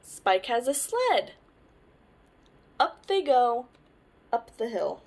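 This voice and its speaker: A young woman hosting storytime, reading a children's picture book aloud with animated, deliberate phrasing. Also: on the high side. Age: young adult. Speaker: storytime host.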